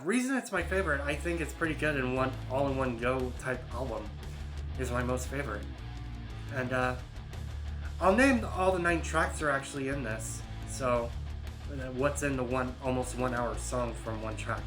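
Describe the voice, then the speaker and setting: A man's voice: medium pitch, moderate pace, fairly bright tone, soft background music. A man in his early thirties, hosting a casual album ranking, rambling and hesitant with many fillers and restarts. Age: 30s. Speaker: YouTube host.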